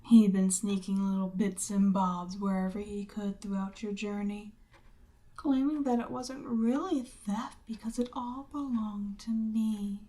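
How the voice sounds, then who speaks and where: A seductive Demon Queen, acted by a woman, speaks to her captive in an ASMR roleplay. She takes her time over every phrase, sliding from breathy, medium-pitched speech into whispers. Her manner is smug and coaxing, with the firm command of a ruler. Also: fairly even intonation, measured pace, warm tone, lightly acted, low-quality recording. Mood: smug, amused. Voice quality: breathy, often dropping into whisper.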